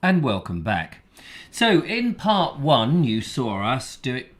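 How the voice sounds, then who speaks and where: Man, co-host, playful rambling jokes, lively swinging pitch. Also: takes it slowly. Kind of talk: casual comedic chat.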